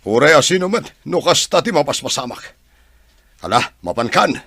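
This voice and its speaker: An actor playing a stern, irritable older patriarch in a radio drama, gruff and commanding. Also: male voice, a little high, big pitch swings, normal speed, fairly bright tone, no echo, clean studio sound, heavily acted.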